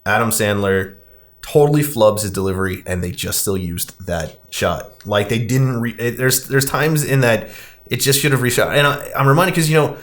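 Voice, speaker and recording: A man's voice: mid-range voice, normal speed, fairly bright tone. A man hosting a podcast, casually recapping a film in a wry, critical, conversational way.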